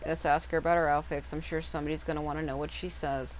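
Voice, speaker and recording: A woman's voice: deep voice, level tone, very fast, noisy background. A woman giving casual, informal commentary as she plays a video game. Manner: informal, swears freely. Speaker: gaming video creator. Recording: game music behind the voice.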